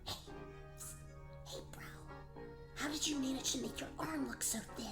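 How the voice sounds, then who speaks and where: A woman's voice: high-pitched, unhurried, music in the background, pitched above the performer's own voice. A woman voicing a bratty, sarcastic, bossy little girl in a comedic radio play. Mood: bossy, scheming. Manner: sarcastic, exasperated. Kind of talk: comedic radio play, gag-dub cartoon acting.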